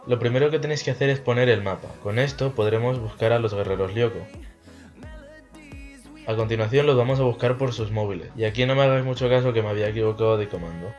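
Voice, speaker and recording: A man's voice: medium pitch, quick pace, darkish tone, dull audio. A young man with an accent from Spain, presenting a casual video game tutorial as host over background music.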